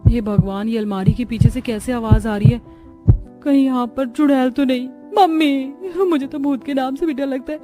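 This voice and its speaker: A woman's voice: sits high, normal speed, mellow, faint music behind, lightly acted. A woman playing a young, dramatic, exasperated new bride in a cartoon family story. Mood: frightened.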